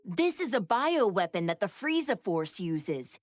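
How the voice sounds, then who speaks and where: A woman's voice: medium pitch, very animated, a little slow, no room sound. A woman playing a composed, knowing wife of a warrior in a video game, explaining things in a matter-of-fact, slightly dismissive way. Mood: explanatory. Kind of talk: scripted video game character banter.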